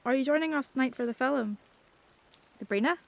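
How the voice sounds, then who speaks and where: Casting: tough, friendly Northern Irish senior pupil, clearly high-pitched, brisk, audio drama. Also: female voice. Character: confident, elite older schoolgirl, tough but friendly.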